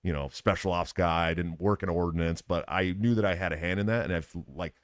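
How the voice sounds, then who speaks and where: A deep-voiced American man hosts a casual interview. He talks in an earnest, informal way, and the sound is dry, with little room in it. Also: fairly even intonation, a little fast, smooth, dry recording. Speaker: podcast host. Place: live at a political convention.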